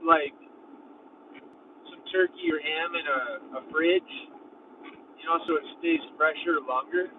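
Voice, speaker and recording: A young man casually vlogging while driving his van, rambling and leaning heavily on filler words. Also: on the high side. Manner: rambling, heavy use of "like". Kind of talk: casual road-trip vlogging. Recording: thin phone-like sound from a distant mic in a car. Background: faint road and engine noise.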